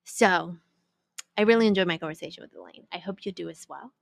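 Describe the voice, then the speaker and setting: A woman with a lowish voice hosts a podcast in a relaxed, chatty way. She pauses to hunt for the right word and laughs easily. The sound is dry and close. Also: gravelly, no room sound. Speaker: podcast host. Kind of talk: casual interview chat.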